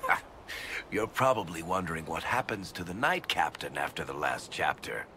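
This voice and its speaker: A very low, gravelly rogue of middle years. He banters with sardonic ease and swings his intonation widely. Noisy game ambience sits behind the dialogue. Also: big pitch swings, moderate pace, pushed and bright, full character voice. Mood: teasing. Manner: sardonic, teasing, casual banter. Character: wry, roguish male storyteller and businessman, middle-aged. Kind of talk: video game cutscene acting.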